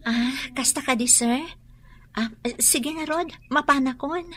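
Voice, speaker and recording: A woman's voice: sits high, measured pace, bright, forceful tone, slight background noise. A woman with a Filipino accent playing an earnest, respectful young student in an Ilocano radio drama. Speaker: drama actor.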